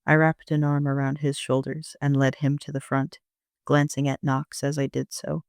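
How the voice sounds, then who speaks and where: A man's voice: mid-range voice, completely flat delivery, normal speed, gravelly, clear, resonant tone, no room sound, clean studio sound. A man narrating a first-person audio drama as an amateur reader, in an understated storytelling manner.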